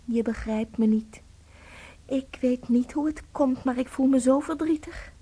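A woman playing a devoted wife in a radio play, dramatic and intimate, swinging between tenderness and cold bitterness in a husky voice. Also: high-pitched, unhurried, dark tone. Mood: wry, sad.

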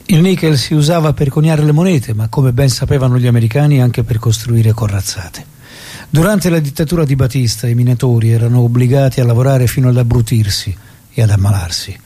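An actor as a solemn, husky-voiced narrator. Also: male voice, medium pitch, fairly quick, dark tone, natural voice. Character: solemn storyteller of a revolutionary's life. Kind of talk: dramatic scripted narration.

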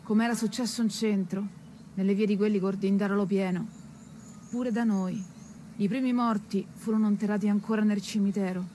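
A woman's voice: mid-range voice, fairly even intonation, normal speed, mellow, lightly acted. A woman playing a mournful townswoman from a poor quarter, narrating a radio drama. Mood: mournful recollection. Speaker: narrator in a radio stage serial. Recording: faint background noise.

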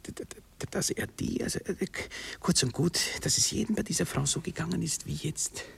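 An actor playing a nervous, sensitive young gentleman in love in a 1960s German radio drama, halting, stammering and self-pitying. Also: on the high side, gravelly, husky, exaggerated voice. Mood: awkward, explaining.

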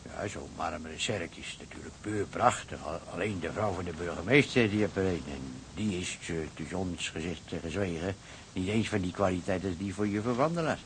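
Chattering, money-minded village gravedigger. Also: male voice, low voice, fairly quick, slight background noise. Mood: sales patter. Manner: chatty, hesitant, wheedling salesman, repetitive.

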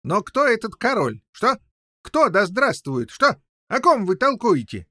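An excitable, muddled old king, as a male narrator plays him. The voice is high and swoops about, rambling and stumbling through explanations in a storybook reading. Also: measured pace, no echo, full character voice. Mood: irritated. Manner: rambling, stammering, tacks on questioning interjections.

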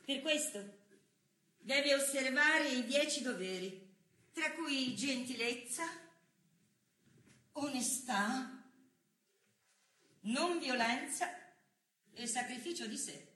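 An actress playing an idealistic woman political leader in a theatrical monologue, declaiming deliberately. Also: sits high, animated, pushed and bright, echoey room, heavily acted. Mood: solemn, fervent. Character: idealistic woman political leader expounding ancient Buddhist principles.

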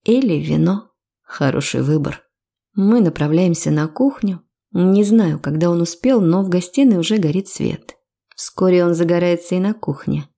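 A woman's voice: lowish voice, moderate pace, dark tone. A woman reading scripted first-person fiction as an audiobook narrator.

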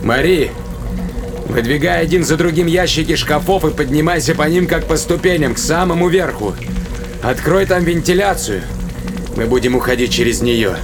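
Sci-fi audio play captain: gravelly, gruff, sardonic under pressure, clipped orders, music and effects behind. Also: male voice, on the high side, lively intonation, normal speed. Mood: commanding. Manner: terse, muttering to himself, commanding. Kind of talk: audio drama acting.

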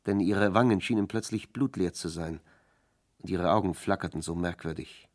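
A man's voice: low voice, moderate pace. A man narrating a scripted German radio crime drama.

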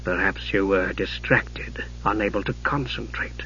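A man voicing a cold, disdainful mage in a slow, quiet, menacing manner, as in a fantasy audiobook. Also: deep voice, slightly rough, highs cut off, voice pushed down, full character voice. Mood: cold, grave. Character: frail male mage, cold, disdainful, thinly amused.

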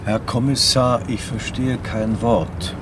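A male actor playing a guarded, sceptical senior public prosecutor in a radio crime drama, measured, formal and dryly challenging. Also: medium pitch, steady tone, noisy background, close to an everyday voice. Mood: wary. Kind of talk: naturalistic German radio crime drama acting.

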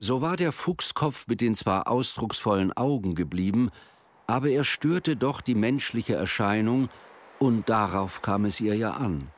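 A man narrating a folk tale for a radio drama in a measured, literary storytelling delivery. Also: on the low side, slight background noise. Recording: music behind the voice. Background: music.

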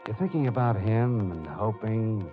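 Casting: solemn editor-storyteller, lowish voice, measured vintage radio drama, music behind. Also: male voice, lively intonation. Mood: solemn, dramatic. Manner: measured, dramatic second-person delivery. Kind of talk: old-time radio drama narration. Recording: muffled old radio recording, music behind.

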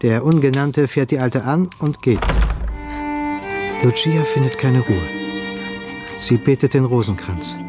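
A man narrating a historical radio play as a grave, measured storyteller. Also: medium pitch, music in the background, close to an everyday voice. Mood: solemn, suspenseful. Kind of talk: scripted dramatic narration in present tense.

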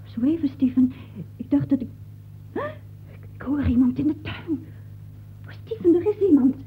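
A fretful wife in an old radio drama. Her voice is high and nervous, coming through a thin, muffled recording with faint noise. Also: lively intonation, normal speed. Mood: frightened. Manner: wifely, hesitant, nervous. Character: anxious, fretful wife of a novelist. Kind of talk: radio play acting.

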